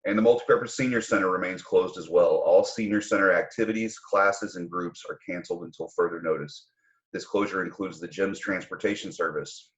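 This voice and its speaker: A man speaking as a mayor, reading a formal public address from notes in a measured, careful way. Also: sits low. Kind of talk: formal public announcement read from prepared notes.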